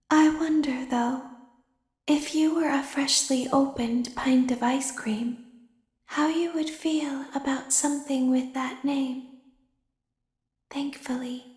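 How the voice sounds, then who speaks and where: A woman voicing a sinister, girlish female animatronic who talks in a soft, menacing whisper. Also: high-pitched, echoey room. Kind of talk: fan voice acting of game lines.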